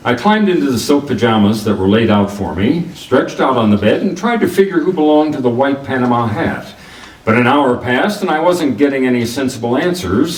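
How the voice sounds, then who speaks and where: An actor playing a wisecracking private detective in a hardboiled radio drama, speaking in a dry, sardonic, colloquial way. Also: male voice, medium pitch, lightly acted. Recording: roomy, distant microphone.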